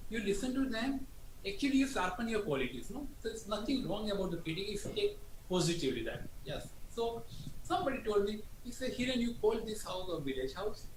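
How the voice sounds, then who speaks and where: Very high-pitched man, echoey. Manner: tags statements with "no?". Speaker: architect, interview guest. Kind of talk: conversational interview. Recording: echoey room, faint background noise.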